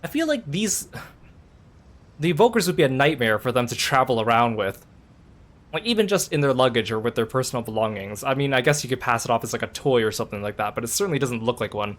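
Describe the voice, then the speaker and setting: A man's voice: medium pitch, lively intonation, fast talker, a bit bright. A man giving casual, rambling let's play commentary on a game, in a conversational way. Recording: game music behind voice. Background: video game music and dialogue.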